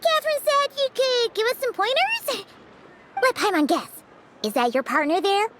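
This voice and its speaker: An actress voicing a tiny excitable floating girl companion who speaks of herself in the third person, in a video game. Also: extremely high pitch, moderate pace, heavy background noise. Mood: chirpy. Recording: game audio with loud music. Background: game music.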